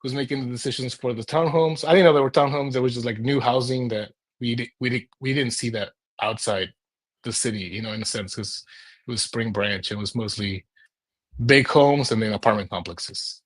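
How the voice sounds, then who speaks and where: A man in his thirties speaks at a middle pitch, telling his own story as a guest in a conversation. He is from Mexico and was raised in Houston. His delivery is informal and rambling, and he often poses rhetorical questions to himself. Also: fairly quick. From Mexico, moved to Houston as a child. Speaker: urban planner, interview guest. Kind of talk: conversational personal storytelling in an interview.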